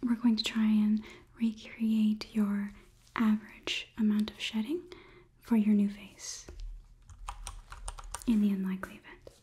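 A young woman whispering gently and clinically in an ASMR roleplay as a robot examiner, close to the microphone. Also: medium pitch, level tone, measured pace, pauses often. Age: young adult. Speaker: ASMR performer. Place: small indoor studio. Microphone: close microphone, framed close to camera.

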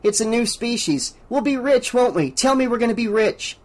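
A man voicing an eager, high-pitched teenage boy. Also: moderate pace, lightly acted. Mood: excited. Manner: eager, pleading.

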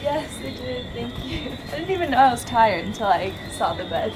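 A woman's voice: on the high side, expressive, normal speed, background noise. A young woman chatting cheerfully and laughing easily in a travel vlog. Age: young adult. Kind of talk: casual chat.

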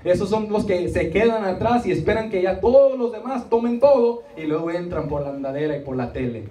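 This is a man preaching in a fairly high but mellow voice. He repeats phrases for emphasis and stretches key words out slowly. He sounds a little distant from the recorder, with the room ringing around him. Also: lively intonation. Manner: emphatic, drawing out key words, pausing for congregation responses. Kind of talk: Spanish-language church sermon with rhetorical repetition and acted asides. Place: small church meeting room, indoors. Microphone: handheld microphone, recorded from the seats. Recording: roomy, distant audience recording with faint noise.

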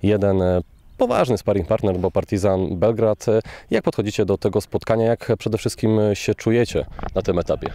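A man's voice: on the low side, normal speed, dark tone. A man interviewing a football player, asking prepared questions with small hesitations. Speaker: club media interviewer.

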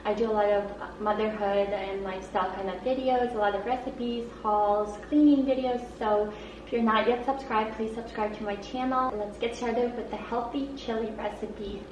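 A woman's voice: medium pitch, fairly even intonation, moderate pace, a little mellow, slight background noise. Chatty young woman in an echoey kitchen. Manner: chatty, addresses viewers as guys. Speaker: lifestyle vlogger, stay-at-home mom. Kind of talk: upbeat channel intro. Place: home kitchen, indoors. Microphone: camera mic from a few feet away. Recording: roomy kitchen, somewhat distant mic.

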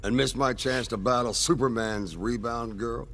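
A man's voice: mid-range voice, moderate pace, slight background noise, natural voice. An actor playing an arrogant male mercenary in a video game, speaking in a smug, taunting way. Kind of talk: video game cutscene acting. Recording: loud game music behind.

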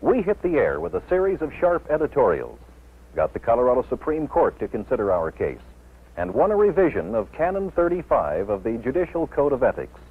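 A man's voice: medium pitch, huge pitch swings, a little fast, slightly rough. A middle-aged American man delivering a scripted address to camera in a television studio. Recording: tinny old television film soundtrack.

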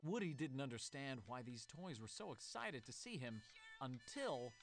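A man's voice: mid-range voice, big pitch swings, moderate pace, over loud music. A man reading a scripted children's storybook as narrator.

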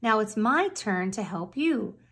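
A woman voicing the water as a gentle, helpful male figure who speaks earnestly. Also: medium pitch, animated, a little slow, husky, heavily acted. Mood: kind.

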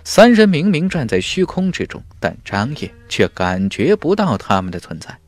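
Animated male fantasy audiobook narrator. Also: mid-range voice, moderate pace. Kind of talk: dramatic scripted fantasy narration. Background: music.

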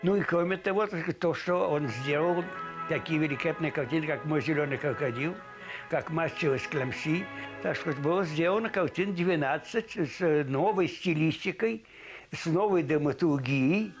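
A man in his seventies reminisces in an interview, effusive and halting as he searches for words. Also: fairly high pitch. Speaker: interviewee recalling a colleague. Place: indoors, book-lined room. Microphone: lapel microphone. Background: music.

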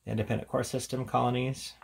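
A man's voice: medium pitch, level tone, fast, gravelly, a little hiss or hum. A man giving an informal, offhand show-and-tell commentary as a reviewer, casually talking through what is in front of him. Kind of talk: casual hands-on book showcase commentary.

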